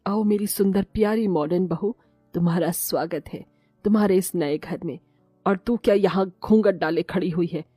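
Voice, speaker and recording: A woman voicing a snobbish, sharp-tongued middle-aged mother-in-law in a cartoon story, with a sarcastic, scolding manner. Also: fairly low pitch, moderate pace, husky, no room sound, faint music behind. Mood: doting. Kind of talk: Hindi cartoon moral-story voice acting.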